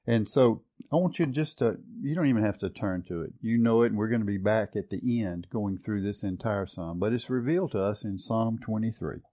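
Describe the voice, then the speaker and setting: A man's voice: on the low side, brisk, hardly any pauses, dark tone. A man teaching a Bible study session. He talks in an earnest, reflective way, reads and compares scripture translations, and often repeats words while he finds the phrase.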